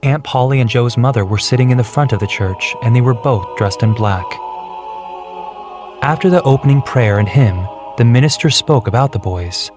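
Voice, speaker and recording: A man's voice: mid-range voice, normal speed. A man reading scripted narration for a children's storybook, with music behind him. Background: background music.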